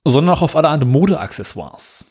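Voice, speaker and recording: Husky man explaining news casually. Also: on the high side, brisk. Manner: relaxed, dry, explains news items. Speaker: podcast co-host.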